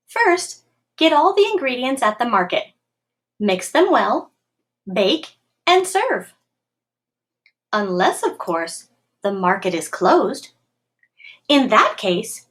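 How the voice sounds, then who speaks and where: A woman reads a children's picture book aloud in the manner of a school storytime. Her voice sits a little high and moves in animated swings of pitch. She tells it expressively, with clear pacing meant for young listeners. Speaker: school computer tech reading a storybook aloud to pupils.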